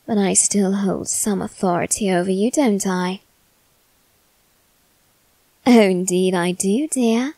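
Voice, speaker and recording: A woman's voice: mid-range voice, mellow, dry recording. A woman playing a teasing, haughty young male demon servant in a theatrical, mock-polite ASMR roleplay. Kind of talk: ASMR character roleplay addressed to the listener.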